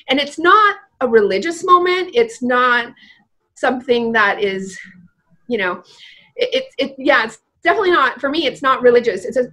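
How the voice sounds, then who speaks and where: A woman from Vietnam, a guest author, talking earnestly and animatedly in a casual interview, with frequent fillers. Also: high voice. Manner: earnest, animated, heavy use of "like".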